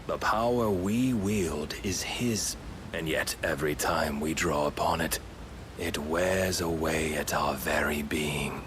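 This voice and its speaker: An actor playing a grave, menacing godlike servant in a fantasy game, speaking in solemn, pompous, archaic phrases. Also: male voice, extremely low pitch, fairly even intonation, takes it slowly, background noise. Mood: solemn, preaching. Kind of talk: dramatic fantasy game cutscene acting.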